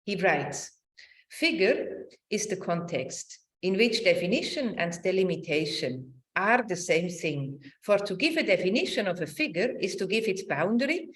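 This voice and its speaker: A middle-aged woman lectures in a semi-improvised academic way. Her pitch is mid-range but moves around in a very lively way. She feels for her words haltingly and often tags a phrase with a small question. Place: indoor room, online presentation.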